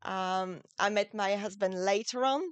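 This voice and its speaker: A middle-aged woman from France with a French accent, a guest in a casual interview, speaking gentle, halting English. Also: medium pitch, clear, resonant tone, no echo. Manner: gentle, halting non-native English phrasing.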